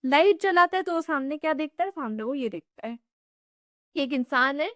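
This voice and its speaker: A woman's voice: high-pitched, animated, normal speed, fairly bright tone, no room sound, studio quality. A young Indian woman teaching an exam revision lesson in a chatty way, switching languages and often saying "you know". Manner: chatty code-switching explainer with many "you know" fillers. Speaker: English teacher.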